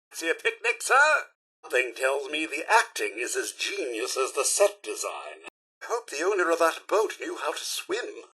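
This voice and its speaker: A man's voice: slightly rough, heavily acted. A male actor voicing a prim, fussy robot butler for a video game, with a plummy British accent and a theatrical, over-polite manner. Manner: plummy, over-polite, exclamatory, highly animated.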